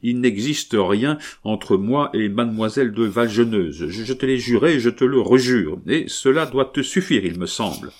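A man reading aloud and voicing a smooth, evasive young husband who talks in a glib, dismissive way. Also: mid-range voice, animated, normal speed, few pauses, darkish tone, close to an everyday voice. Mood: impatient. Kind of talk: audiobook reading.

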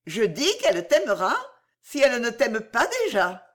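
A woman's voice: a little high, very animated, normal speed, a bit bright, voice pushed up. A woman voicing a playful, coquettish young woman who teases with an ingenuous, familiar manner in a storybook reading. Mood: coaxing. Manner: teasing, insistent, familiar.

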